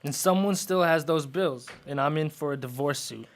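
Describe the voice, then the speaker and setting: A terse, well-off philanderer in a radio detective drama acted by a man. His somewhat high male voice is suspicious and businesslike, the delivery curt. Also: normal speed, lightly acted. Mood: guarded, businesslike. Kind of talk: radio noir drama acting.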